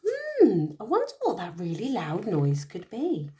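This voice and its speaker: A woman voicing a gentle male giraffe in a squeaky, high voice for a read-aloud storybook. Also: wildly swooping pitch, moderate pace, reverberant. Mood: wondering.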